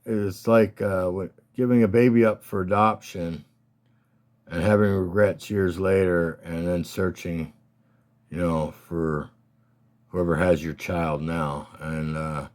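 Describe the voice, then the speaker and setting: A man with a slightly scratchy voice, casually and haltingly talking through his collection as a hobbyist host. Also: low-pitched, steady tone, a little mellow. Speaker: collector hosting a card showcase. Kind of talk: casual rambling show-and-tell talk.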